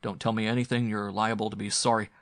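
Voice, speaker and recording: A man's voice: on the low side, normal speed, creaky, a bit bright, no echo, studio quality. A man reading aloud and voicing a tired, kindly middle-aged man who grows frightened. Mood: uneasy.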